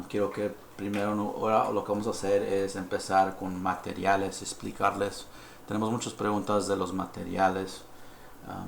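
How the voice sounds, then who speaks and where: A low, gravelly-voiced man from California speaks Spanish as a second tongue, halting and apologetic, dropping in English fillers. His tone stays flat and even. The sound carries the echo of a lab room with a faint hum behind it. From United States (California). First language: English (heritage Spanish speaker). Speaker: dental technician presenter. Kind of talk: live-stream dental lecture. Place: dental lab.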